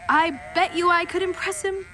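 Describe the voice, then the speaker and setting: A woman's voice: very high voice, steady tone, normal speed, pushed and bright, a little hiss or hum. A woman voicing an eager, ambitious, boastful young female sheep, perky and earnest, in a family audio drama.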